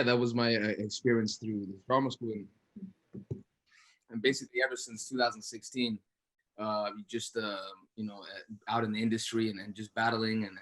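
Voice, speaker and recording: A man's voice: on the low side, fairly bright tone, low-quality recording. A man speaking earnestly and emphatically as an actor in a post-show Q&A, often repeating phrases as he reflects. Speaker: actor, interview guest.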